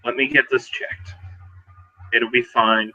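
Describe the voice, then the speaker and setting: A man roleplays a nervous, grateful male friend in a tabletop game. His voice is very bright and forceful, and he speaks haltingly, repeating himself to reassure. The recording is slightly dull and lo-fi. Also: mid-range voice, level tone, soft background music. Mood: hesitant, reassuring.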